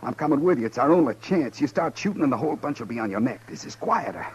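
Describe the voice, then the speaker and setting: An actor playing a brave, plain townsman in an old radio western, talking in a folksy, halting way. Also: mid-range voice, animated, darkish tone, slightly rough, highs cut off. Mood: determined. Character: plain, brave townsman volunteering to fight killers. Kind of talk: 1950s radio western acting.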